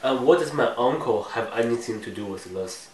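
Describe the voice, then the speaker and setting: A man's voice: medium pitch, expressive, moderate pace, a little mellow, lightly acted. Young guy doing a cool offhand mob heir, roomy echo, sounds far from the cam. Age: young adult. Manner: dry, offhand, curt. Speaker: actor in an amateur mob drama. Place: living room in a house. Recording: roomy room audio from a far camera.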